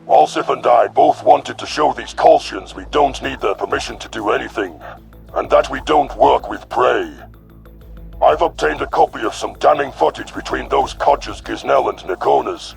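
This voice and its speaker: A man voicing an arrogant, contemptuous alien predator warlord in a sci-fi audio drama, with a gravelly growl and a boastful, sneering manner. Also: mid-range voice, moderate pace, faint music behind, voice pushed down. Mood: scornful.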